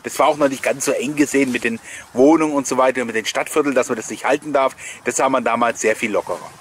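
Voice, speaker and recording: A man gives an informal voice-over in the manner of a host sharing memories with his viewers. He rambles amiably through anecdotes at a middle pitch and tends to chuckle. There is some audible background noise behind him. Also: quick pace. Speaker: host of a collectors' nostalgia video channel. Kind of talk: chatty voice-over commentary with personal anecdotes.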